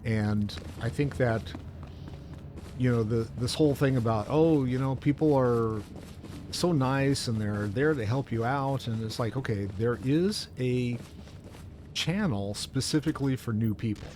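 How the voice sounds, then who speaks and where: Guy chatting while he games, kinda rambly and grumbly, normal pitch, noticeable noise behind him. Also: unhurried. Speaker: gaming show co-host. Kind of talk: casual chat while gaming.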